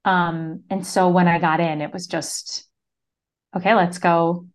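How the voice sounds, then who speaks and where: Lower-voiced east coast woman, podcast guest, chatty storytelling, says like a lot. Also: little pitch movement, unhurried. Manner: casual storytelling, many "like" fillers. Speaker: podcast guest, business mentor. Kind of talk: conversational interview.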